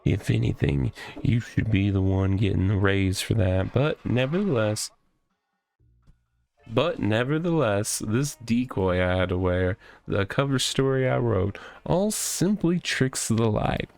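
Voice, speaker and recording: A man's voice: fairly low pitch, lively intonation, a little slow, gravelly, a little mellow, faint music behind. A man voicing a pompous, delusional self-styled cop in a visual novel, with a theatrical, wordy, rambling delivery. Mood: smug, gloating. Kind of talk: visual novel character reading.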